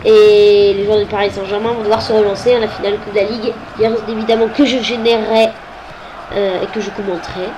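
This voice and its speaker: A woman's voice: mid-range voice, level tone, quick pace, noisy background. A woman with a head cold commenting on a football video game, hesitant and full of filler sounds. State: has a cold.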